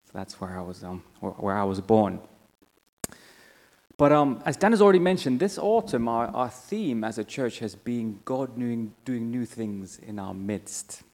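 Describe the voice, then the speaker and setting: A man's voice: mid-range voice, animated, a little slow, a little mellow. A man with a Finnish accent preaching a sermon to a church congregation. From Finland. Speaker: church member preaching, theological college staff. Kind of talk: sermon with scripture reading and prayer. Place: church hall, speaking to a congregation. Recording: echoey hall with long reverb.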